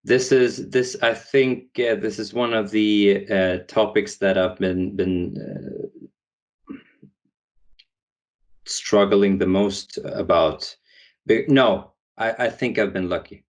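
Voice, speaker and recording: Hesitant low-voiced Swedish-raised man. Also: fairly even intonation, takes it slowly. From Sweden. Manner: hesitant, many restarts and fillers. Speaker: guest, founder. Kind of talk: podcast interview.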